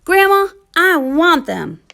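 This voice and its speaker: A woman voicing an eager young boy who longs for something, in an expressive children's picture book reading. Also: very high-pitched, slow pace, creaky, voice pushed up, heavily acted. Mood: pleading. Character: eager young boy longing for cool shoes.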